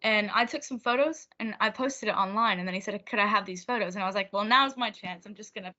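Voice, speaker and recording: Teenage american woman, chatty singer, bright clear voice, dull lo-fi audio. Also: medium pitch, quick pace, a little hiss or hum. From Oregon, USA. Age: teens. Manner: chatty, laughs often. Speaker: singer-songwriter guest. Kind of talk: casual interview.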